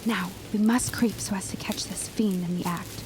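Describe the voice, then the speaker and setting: A woman's voice: medium pitch, fairly even intonation, moderate pace, noisy background, lightly acted. A woman playing an eager, inquisitive and loyal neighbour in a period audio drama, with earnest, old-fashioned phrasing. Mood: eager, conspiratorial.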